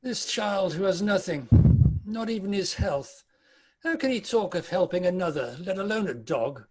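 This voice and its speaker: Male actor as anxious old miser, swooping intonation, high voice, questioning, dramatic reading. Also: expressive, moderate pace, slight background noise, poor audio. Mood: troubled. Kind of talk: dramatic table read. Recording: remote video-call recording.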